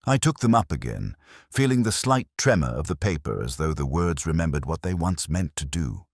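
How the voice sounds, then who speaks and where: An actor playing a weary, melancholy middle-aged detective, narrating a scripted mystery in a measured, literary, sombre way. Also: male voice, rumbling low, big pitch swings, gravelly, no room sound, studio quality, heavily acted. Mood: pensive, sombre.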